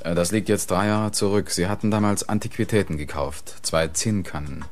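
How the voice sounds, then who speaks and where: An actor playing a polite, methodical police investigator in a German radio crime play, probing and matter-of-fact. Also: male voice, sits low, normal speed, soft background music, lightly acted.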